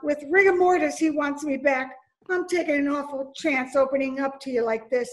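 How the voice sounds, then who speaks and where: An actress playing a frightened, streetwise young gangster's moll in an old-time radio play, slangy and pleading. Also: female voice, sits high, steady tone, moderate pace, natural voice. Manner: breathless pleading, slangy "gee" and "ya". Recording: home video-call recording.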